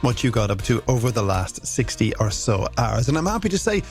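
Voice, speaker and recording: Gravelly Irish radio man over music. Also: mid-range voice, big pitch swings, a little fast. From Ireland. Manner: wordy, alliterative, playful patter. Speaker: radio host. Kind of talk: scripted late-night music radio presenting.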